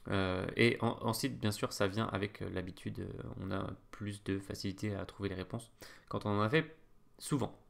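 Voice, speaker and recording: A man's voice: fairly low pitch, moderate pace, creaky. A man teaching chess in a casual, hesitant way, full of fillers and restarts. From France. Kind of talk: casual screen-share lesson.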